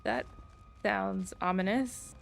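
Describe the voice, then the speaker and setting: A woman's voice: mid-range voice, takes it slowly, gravelly, soft background music. A woman streamer giving casual gameplay commentary, muttering reactions as she goes. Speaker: gaming streamer.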